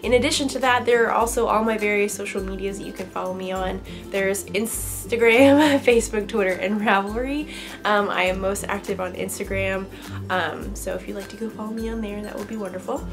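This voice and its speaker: A woman's voice: medium pitch, fairly quick, music in the background. Congested young woman chatting. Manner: chatty, upbeat storytelling. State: congested from allergies. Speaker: podcast host. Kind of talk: casual crafting chat to camera.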